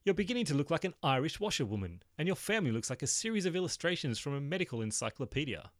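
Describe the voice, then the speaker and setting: A man's voice: on the high side, very animated, racing, no echo, voice pushed up. Petulant young man, sarcastic and exasperated, a male narrator putting him on; dry, close sound.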